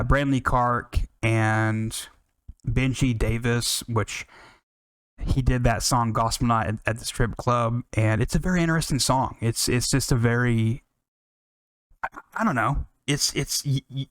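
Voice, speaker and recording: A man's voice: medium pitch, fairly bright tone, no room sound. Rambling American man hosting a podcast, dry sound. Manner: rambling, profane, enthusiastic. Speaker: music podcast host. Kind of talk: casual unscripted music commentary.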